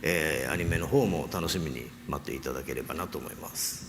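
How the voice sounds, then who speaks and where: A man's voice: a bass voice, racing, noisy background. A man speaking casually on a panel, rambling with many fillers. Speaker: panel guest.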